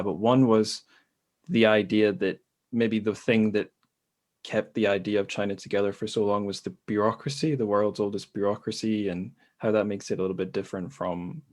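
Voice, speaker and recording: A man's voice: fairly low pitch, darkish tone. A man hosting a casual podcast discussion, talking in a reflective, anecdotal way. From a Western country. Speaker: podcast host.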